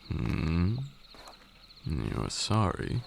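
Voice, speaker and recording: Male performer as condescending vampire lord, heavy gravelly creak, swooping intonation, archaic formal phrasing, stern teasing mentor, ASMR roleplay. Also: heavily acted. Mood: knowing, teasing. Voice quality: very deep, gravelly. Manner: condescending, formal archaic speech, drawn-out delivery. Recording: steady noise with night insects. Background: crickets and night insect ambience.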